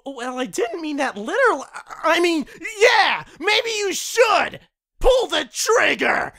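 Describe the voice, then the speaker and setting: A man's voice: extremely high pitch, sing-song, slow pace, vocal fry, pushed and bright, dry recording, studio quality, exaggerated voice. A man voicing a vain, pleading young man in an amateur cartoon parody, stammering and whiny. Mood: frantic.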